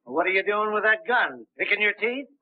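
A man's voice: sits high, expressive, normal speed. An actor playing a cocky, wisecracking man in a 1930s film, on a tinny old soundtrack.

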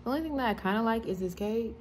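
A woman's voice: on the high side, expressive, rapid-fire, darkish tone, slight background noise. Woman in her twenties, casual vlogger, phone held at arm's length, everyday chat. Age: 20s.

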